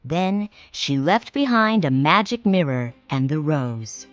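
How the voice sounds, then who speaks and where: A woman narrating a read-along storybook in a clear, measured, expressive way. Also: deep voice, dry recording, muffled recording, faint music behind.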